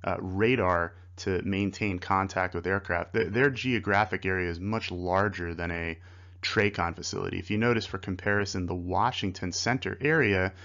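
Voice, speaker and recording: Low-voiced man explaining like an instructor. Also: fairly bright tone, highs cut off. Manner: conversational, explanatory. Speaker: flight instructor. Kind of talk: explanatory slide-lesson narration.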